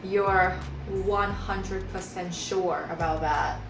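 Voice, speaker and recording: A young woman hosting a casual home chat show, talking in a bubbly, excitable way. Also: fairly low pitch, takes it slowly. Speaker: host. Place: home room. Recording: echoey room, mic far off, music behind.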